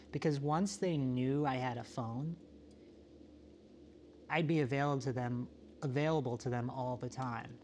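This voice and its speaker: A man playing a solitary, introspective apocalypse survivor in a found-footage monologue, talking in a halting, self-questioning, confessional way. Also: mid-range voice, darkish tone, a little hiss or hum, lightly acted. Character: solitary, world-weary, introspective male survivor of a quiet apocalypse.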